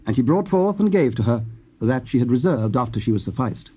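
A man's voice: mid-range voice, very animated, a little fast, husky. A man giving solemn, scripted narration of scripture. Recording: dull, lo-fi old cassette.

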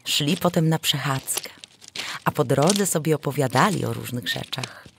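A woman narrating a fairy tale in a theatrical, expressive storytelling manner. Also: sits low, moderate pace, gravelly, background noise. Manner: theatrical, animated. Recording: music behind the voice. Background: music.

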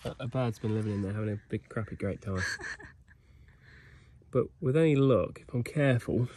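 A man's voice: lowish voice, moderate pace, a little mellow. A young man in his twenties, chatting cheekily and thinking aloud as he strips an engine outdoors at night, recorded on a nearby camera. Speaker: vlogger mechanic. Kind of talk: casual workshop narration. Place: outdoors at night by an old digger.

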